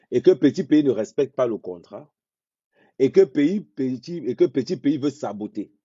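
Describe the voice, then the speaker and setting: Slow, dark-voiced blunt man. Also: fairly low pitch, husky, no room sound. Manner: blunt, repeats phrases for emphasis, slow deliberate delivery. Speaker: social media commentator. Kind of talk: unscripted live monologue. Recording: dull lo-fi dry sound.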